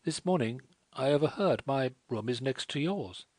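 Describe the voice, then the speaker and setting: A man voicing a gentle, kind, well-bred young woman. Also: mid-range voice, lively intonation, moderate pace, no room sound, pitched above the performer's own voice, natural voice. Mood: confiding.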